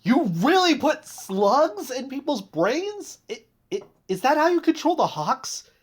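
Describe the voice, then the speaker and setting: A woman's voice: high voice, sing-song, unhurried. A woman playing a frightened, bewildered young investigator who is hesitant and incredulous, in an audio drama. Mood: bewildered disbelief. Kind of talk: fiction podcast audio drama acting.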